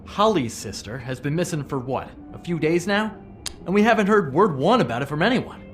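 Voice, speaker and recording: A man's voice: a little high, expressive, background noise, lightly acted. An actor playing a cocky, mouthy male troublemaker in a video game, sarcastic and goading. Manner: sarcastic, goading, drawn-out emphasis.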